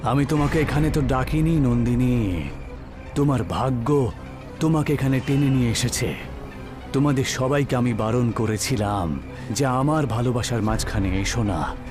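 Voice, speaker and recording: A man voicing a menacing, vengeful young ghost in a horror cartoon, speaking in a slow, deliberate, threatening way. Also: mid-range voice, over music, close to an everyday voice.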